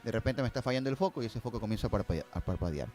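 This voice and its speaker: A man's voice: on the low side, animated, very fast, a little mellow, soft background music. A man speaking as a guest filmmaker in casual conversation, explaining and telling stories, often repeating phrases for emphasis.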